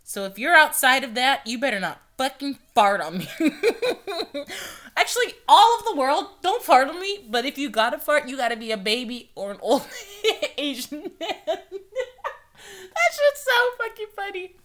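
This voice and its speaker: A woman's voice: high-pitched, very animated, normal speed, fairly bright tone. A young woman hosting her own podcast, chatting loosely and profanely and laughing often. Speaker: podcast host. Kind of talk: casual solo podcast monologue.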